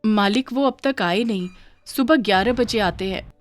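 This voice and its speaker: A woman's voice: mid-range voice, normal speed, no room sound, clean studio sound, faint music behind, lightly acted. A woman voicing a poor, hardworking village mother, weary but patient, in a cartoon moral story. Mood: gentle, respectful.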